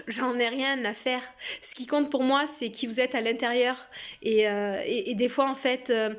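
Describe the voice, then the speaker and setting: A woman in her thirties with a French accent talks to her viewers in a loose, frank monologue. Her voice sits high, and she often pauses on fillers before going on. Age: 30s. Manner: chatty and frank, many hesitations and fillers. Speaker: personal development vlogger. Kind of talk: casual spoken monologue.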